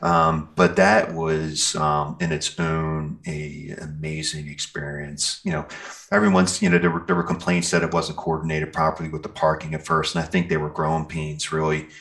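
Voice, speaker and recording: A man speaking as a musician guest in a casual interview, telling stories in a rambling, halting way. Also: extremely low pitch, vocal fry, fairly bright tone. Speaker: guest, metal band singer and guitarist. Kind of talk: casual interview chat, recounting festival gigs.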